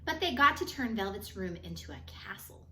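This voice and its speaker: A woman reading a children's picture book aloud in an expressive, measured storytelling manner, with phrases that trail off softer. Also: mid-range voice, slight background noise. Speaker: storybook reader. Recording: echoey room.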